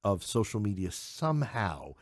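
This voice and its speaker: A middle-aged man talks casually as an interview guest in a fairly low voice. He is opinionated and blunt, and the sound is close and dry. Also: very animated, moderate pace, no echo. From New York City. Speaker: interview guest, playwright and English professor. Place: podcast studio, in person.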